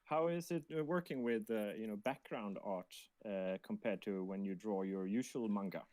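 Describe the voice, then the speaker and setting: A man co-hosting a livestream in casual chat, speaking in a halting, hesitant way. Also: lowish voice, darkish tone, dry recording. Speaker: livestream co-host monitoring the chat.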